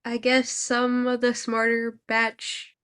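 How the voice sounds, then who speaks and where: Unhurried, dry-humored young woman. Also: fairly high pitch, completely flat delivery, fairly bright tone, clean tone. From Thailand. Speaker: podcast co-host. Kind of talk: casual chat.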